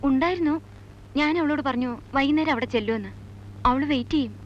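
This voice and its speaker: A woman's voice: sits high, fast, muffled recording, lightly acted. A young actress playing a headstrong, girlish teenage daughter in a Malayalam film drama, talking insistently. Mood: defiant.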